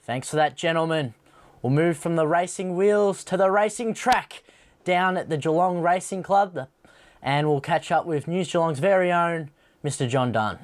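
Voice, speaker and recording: A man's voice: fairly high pitch, moderate pace. An Australian man presenting sport with scripted links between reports.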